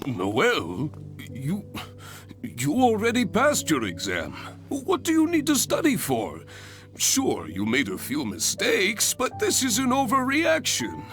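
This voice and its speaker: A man's voice: high voice, huge pitch swings, slow pace, a bit bright, exaggerated voice. A man voicing a pompous, kindly adventurer examiner in a video game, theatrical and fussy, with wordy formal phrasing. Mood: flustered. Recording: background music behind voice.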